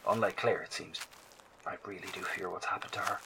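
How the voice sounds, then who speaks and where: An actor playing an anxious, scholarly survivor who broadcasts earnest, hesitant field reports in an audio drama. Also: male voice, sits low, steady tone, fairly quick. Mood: worried, reflective. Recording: clearly noisy field transmission.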